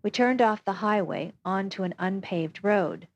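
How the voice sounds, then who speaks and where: A woman reading a first-person memoir aloud as scripted narration, steady and even. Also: mid-range voice, unhurried, slight background noise. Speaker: audiobook reader.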